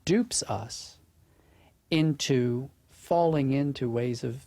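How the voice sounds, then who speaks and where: Production team member, male, interviewed: creaky voice, dry sound, explanatory delivery. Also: mid-range voice, takes it slowly, warm tone, dry recording. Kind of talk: interview commentary.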